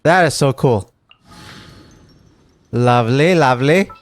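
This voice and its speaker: A man's voice: medium pitch, slow, dry recording. A man giving casual gameplay commentary as a streamer, thinking aloud and reading game text. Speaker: gaming streamer.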